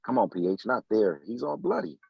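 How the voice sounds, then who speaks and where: A man's voice: medium pitch, sing-song, brisk, creaky, husky, no room sound, muffled recording, exaggerated voice. A man playing an anxious, evasive street hustler in a table-read drama, speaking casually and with slang. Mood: uneasy. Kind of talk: scripted table-read acting.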